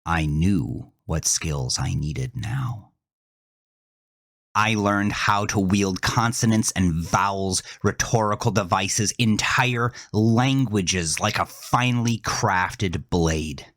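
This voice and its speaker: A man narrating a first-person horror story with intense, deliberate, theatrical delivery and a husky voice. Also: deep voice, fairly bright tone, dry recording, clean studio sound.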